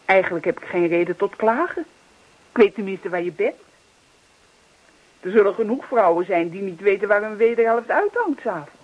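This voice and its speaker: A woman acting a devoted, affectionate wife in a radio play, earnest and coaxing, with a husky voice. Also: mid-range voice, expressive, moderate pace, long pauses, lightly acted. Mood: earnest, loving. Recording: muffled old radio recording.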